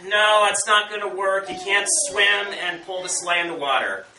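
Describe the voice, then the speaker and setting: A man's voice: high-pitched, moderate pace, close to an everyday voice. A man voicing a commanding Santa Claus in a storybook tale, folksy and emphatic. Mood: exasperated, decisive. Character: commanding Santa Claus, brisk boss addressing his team. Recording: roomy, far from mic, faint noise.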